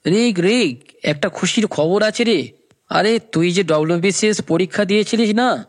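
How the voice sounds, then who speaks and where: A man's voice: high-pitched, normal speed. A man voicing an eager, familiar young friend in a cartoon story. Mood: excited. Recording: noisy background ambience.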